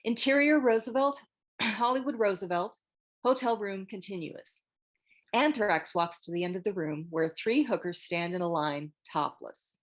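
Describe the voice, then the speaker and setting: A woman's voice: mid-range voice, lively intonation, normal speed. Female narrator for a script table read: mid-range voice, scripted delivery.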